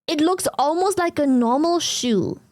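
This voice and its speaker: German-accented woman streamer, high voice, blunt and giggly, casual chat on a dry mic. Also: expressive, a little slow, a little mellow, no echo. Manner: laughs often, swears casually, blunt.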